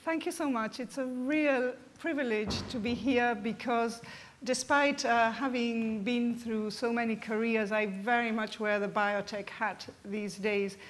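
A woman with a somewhat high voice moderates a conference panel. She introduces each speaker in a halting way, with many ums and uhs. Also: fairly even intonation, a little hiss or hum. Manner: warm thanks, halting with fillers. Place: conference hall stage.

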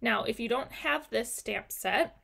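Slow-talking woman with a gravelly voice. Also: fairly high pitch, fairly bright tone. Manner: chatty, talks viewers through each step. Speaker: card-making class teacher. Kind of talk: live crafting class demonstration. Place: indoor craft desk. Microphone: overhead camera on desk, mic off screen.

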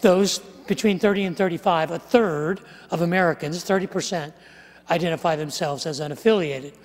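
An older man preaching a sermon, walking through statistics with animated gestures and wry asides, on a headset mic on a large church stage. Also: a little high, normal speed. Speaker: pastor. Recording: live sermon with long hall reverb.

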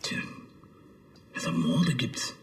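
A man's voice: slow pace, roomy sound, background noise, natural voice. A man playing a self-important, easily flustered police chief in a TV crime comedy, officious and prone to stammering. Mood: smug.

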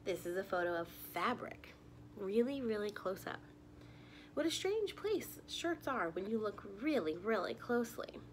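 A woman's voice: mid-range voice, very animated, normal speed, a little hiss or hum. A young woman reading a children's picture book aloud, expressively, addressing little listeners directly with questions. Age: young adult. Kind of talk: children's story read-aloud. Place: living room at home.

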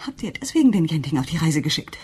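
Distraught wife, very husky and breathy, accusing, lowish voice, lively swings, radio crime drama. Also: female voice, quick pace, heavily acted. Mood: anguished, accusing.

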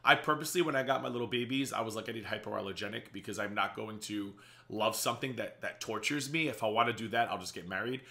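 A man in his thirties hosting a casual reaction video from a small home office, slightly raspy, chatty and sarcastic. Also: lowish voice, pushed and bright. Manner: chatty and sarcastic, quick asides. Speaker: reaction channel host.

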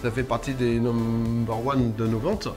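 A man's voice: medium pitch, level tone, measured pace, darkish tone. A young man chatting casually as he co-hosts a barber product review, bantering and often searching for his words, recorded on a lapel mic indoors. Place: indoor studio set. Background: background music.

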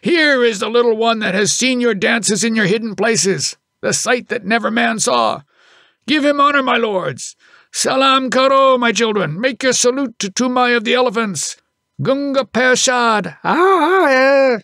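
A man's voice: extremely high pitch, animated, a little slow, bright, forceful tone, dry recording, clean studio sound, pitched above the performer's own voice, exaggerated voice. A man voicing a proud veteran head elephant driver, declaiming exultantly in an audiobook story.

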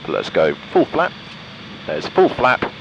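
A man's voice: medium pitch, wildly swooping pitch, unhurried, gravelly, highs cut off, slight background noise. An Englishman in his thirties, a pilot vlogging about flying in a matter-of-fact way. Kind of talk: vlog narration and cockpit talk. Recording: faint engine drone behind.

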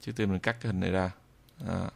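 Low, creaky-voiced man giving a tutorial. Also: fairly even intonation. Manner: halting, with frequent drawn-out hesitations. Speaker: software tutorial instructor. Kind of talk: informal screen-recorded tutorial narration. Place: indoors at a computer, screen recording voice-over.

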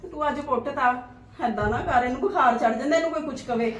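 A woman plays a gentle, patient sister-in-law and mother who coaxes and soothes in a high voice. She talks from a little way off the mic. The room rings with echo. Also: quick pace, recorded from a distance, lightly acted. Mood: placating. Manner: gentle, placating, reasoning. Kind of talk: family drama skit.

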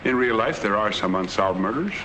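An actor playing a middle-aged, wealthy American husband, genial with a sly menace, in 1940s film noir style. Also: male voice, lowish voice, lively intonation, a little fast, pushed and bright, slight background noise, low-quality recording. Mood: slyly menacing. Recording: 1940s film soundtrack with background music.

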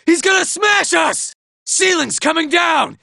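A man voicing a nervous, boastful male robot soldier in a video game, shouting in panic and tossing out sarcastic asides. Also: extremely high pitch, animated, measured pace, vocal fry, no room sound, studio quality, heavily acted. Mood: panicked.

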